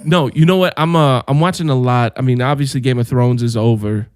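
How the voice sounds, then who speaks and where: A man's voice: medium pitch, fairly quick, a little mellow, clear, resonant tone, no room sound. A young man co-hosting a podcast in casual chat, ranting and swearing freely and breaking into laughs mid-sentence. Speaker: podcast co-host.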